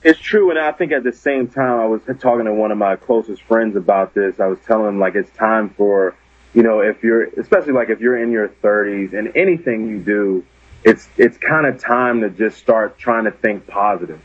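A man's voice: lowish voice, moderate pace, husky, no echo, narrow, tinny audio. A man, a comedian guest on a podcast, telling a story in an earnest, self-deprecating way.

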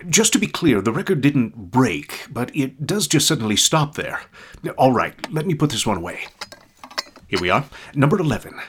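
A man's voice: medium pitch, big pitch swings, normal speed, fairly bright tone, breathy edge. A man playing an eager, careful presenter of old recordings, talking informally and explaining as he goes. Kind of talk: informal spoken presentation to an audience.

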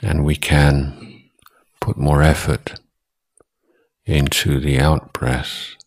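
A man teaching guided meditation as a monk, speaking in short, deliberate phrases with long pauses between them. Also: a bass voice, level tone.

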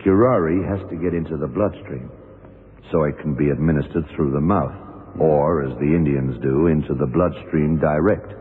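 An actor playing a shrewd, methodical police detective in an old radio drama, questioning in a deliberate, probing way. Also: male voice, rumbling low, a little hiss or hum. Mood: analytical. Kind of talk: 1960s-70s radio drama acting. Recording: tinny, muffled old radio recording.

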